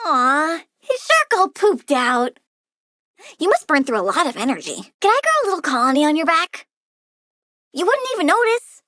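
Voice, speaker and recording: A woman's voice: high-pitched, very animated, moderate pace, bright, forceful tone, no echo, clean studio sound, full character voice. A woman playing a bratty, perky young girl villain who adores plants and scorns humans, in a childlike, petulant video game voice. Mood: cheerfully menacing. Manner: petulant, childlike, blithely menacing. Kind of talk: video game character voice lines.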